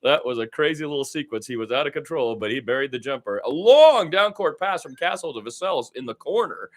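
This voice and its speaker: A man's voice: medium pitch, expressive, fairly quick. A man doing amateur radio-style basketball commentary in a conversational, folksy manner. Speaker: play-by-play commentator.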